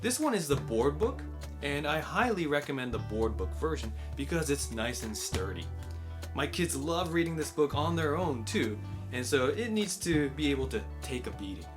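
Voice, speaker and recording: A man with an American accent explaining and recommending in a clear, instructive way, using simple wording, as host of a children's learning channel. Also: a little high, big pitch swings, moderate pace, over music. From United States. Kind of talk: explanatory book recommendation and picture-book read-aloud.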